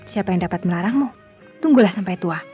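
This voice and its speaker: A woman's voice: lowish voice, lively intonation, quick pace, mellow, natural voice. An actress playing a proud, guarded woman doctor with a sardonic streak in an Indonesian radio drama. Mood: cool, sarcastic. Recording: dull radio recording, music behind.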